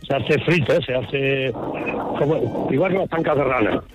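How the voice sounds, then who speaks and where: A man's voice: mid-range voice, moderate pace, lo-fi sound, soft background music. A man from La Mancha, calling in to a radio show and speaking in a plain, matter-of-fact way. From La Mancha, Spain. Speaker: radio caller. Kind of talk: phone-in chat.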